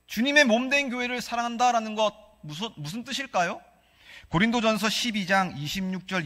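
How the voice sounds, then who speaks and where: A man with a Gyeongsang accent preaches from the pulpit, his high voice bright and forceful. He puts questions to the congregation and leads them in reading scripture together. His words ring out through a church hall with a long, lingering reverb. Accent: Gyeongsang Korean. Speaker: pastor. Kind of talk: sermon. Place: church sanctuary.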